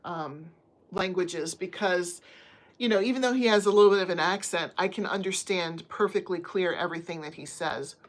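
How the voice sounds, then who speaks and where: A woman from the United States, a crochet livestream host, chatting casually with viewers and reading their comments from her phone at home. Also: mid-range voice. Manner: chatty, friendly, talks to chat viewers by name. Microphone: phone on a stand.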